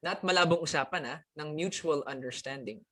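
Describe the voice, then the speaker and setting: A man's voice: fairly high pitch, a little fast, a bit bright, a little hiss or hum. Chatty man hosting a launch. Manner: upbeat, chatty, switching between English and Tagalog with many fillers. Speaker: host. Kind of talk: live-streamed media announcement hosting.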